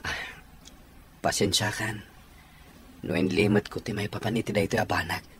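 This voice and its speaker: A man's voice: fairly low pitch, normal speed, vocal fry, fairly bright tone, slight background noise. A man with an Ilocano accent playing an apologetic, embarrassed young man, a devoted son, in a radio drama. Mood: ashamed, hesitant.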